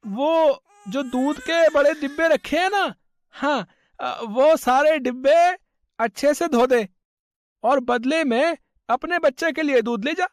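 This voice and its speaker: A man voicing a bossy village milk seller in an animated moral story, loud and demanding. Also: extremely high pitch, no room sound, clean studio sound, exaggerated voice.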